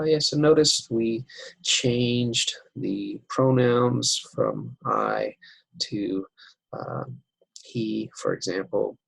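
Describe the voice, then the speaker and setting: A man teaching an English writing lesson over slides, unhurried and step by step, with many fillers. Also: mid-range voice, gravelly, slightly rough, dry recording, rough recording.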